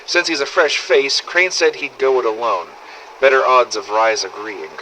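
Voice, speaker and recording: An actor playing a foul-mouthed, cynical zombie-apocalypse survivor, narrating a wry audio diary through an old cassette recorder filter. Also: male voice, medium pitch, moderate pace, bright, forceful tone, low-quality recording. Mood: weary, resigned. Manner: sweary, sardonic, world-weary storytelling. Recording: old cassette tape with faint noise.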